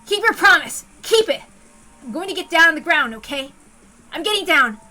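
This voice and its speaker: Woman playing a frantic, high-pitched wounded man, pleading. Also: animated, moderate pace, soft background music, full character voice, rough recording. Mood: desperate, distrustful. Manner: desperate, shouted pleading.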